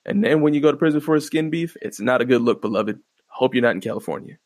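A man hosting his own podcast, rambling in a laid-back, profane way with a fairly monotone voice and lots of fillers. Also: medium pitch, quick pace, no room sound, studio quality. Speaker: podcast host. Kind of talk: casual solo podcast commentary. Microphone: close podcast microphone.

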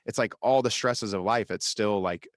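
Man, forties, low voice, dry close sound, reflective, rambling. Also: moderate pace, no room sound. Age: 40s. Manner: reflective, rambling, laughs often. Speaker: podcast host, musician. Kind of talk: casual conversation.

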